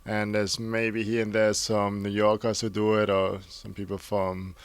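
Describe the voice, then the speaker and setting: A man, a graffiti artist, explaining things in a relaxed, matter-of-fact way. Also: lowish voice, a little slow, clean tone, no echo. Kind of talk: casual interview answers.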